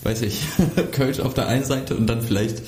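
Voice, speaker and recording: A man's voice: medium pitch, steady tone, normal speed, a little mellow, reverberant, slight background noise. A man in a casual podcast conversation, telling stories in an easygoing, agreeable way.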